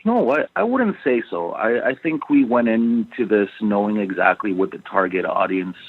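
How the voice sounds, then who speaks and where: Polish-born musician guest, low voice, chatty and loose, phoned in. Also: male voice, animated, normal speed, a little hiss or hum. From Poland. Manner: rambling, many "you know" fillers. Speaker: bassist and backing vocalist, guest. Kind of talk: casual interview answers. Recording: tinny phone line, dry.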